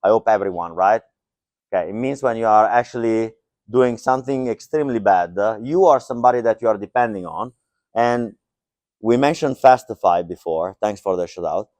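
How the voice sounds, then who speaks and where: A man's voice: on the low side, normal speed, a little mellow, no room sound. A middle-aged man giving a joking, loose tech talk to a live audience, with lots of fillers. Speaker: conference speaker. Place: lecture hall.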